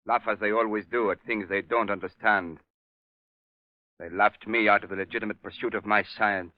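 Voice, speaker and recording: Male actor as bitter, arrogant villain scientist, clipped low delivery, dull 1930s radio recording. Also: fairly even intonation, moderate pace, no echo, close to an everyday voice. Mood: bitter, proud. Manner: clipped, precise, pompous. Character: proud, bitter, cold mad scientist doctor, villain. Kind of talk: 1930s radio mystery acting.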